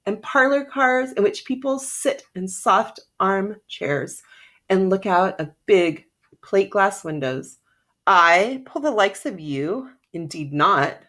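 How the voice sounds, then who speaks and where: A woman's voice: mid-range voice, fairly bright tone. A woman voicing a haughty male passenger train engine in a storybook, pompous and scornful.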